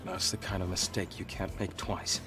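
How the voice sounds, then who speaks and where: Calm, lowish-voiced pragmatic man. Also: moderate pace, over music. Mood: guarded. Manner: understated, matter-of-fact. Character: calm, pragmatic male companion and guide. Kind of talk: video game character acting.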